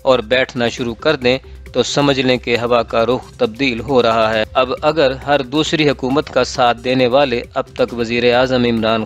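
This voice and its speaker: A man with a Pakistani accent reading a political column as scripted narration. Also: medium pitch. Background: background music.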